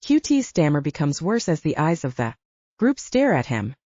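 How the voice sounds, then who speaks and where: A woman narrates a dramatic audiobook in a mellow, lowish voice. Her pitch moves in a lively way, and the recording is dry and slightly dull. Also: moderate pace, dry recording. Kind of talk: scripted dramatic fiction narration.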